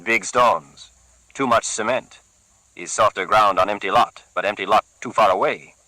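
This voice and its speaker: A man voicing a menacing Puerto Rican gang leader who talks slowly and quietly in broken English with a Puerto Rican Spanish accent. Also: no echo, low-quality recording. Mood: cold. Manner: slow, low, murmuring, broken English.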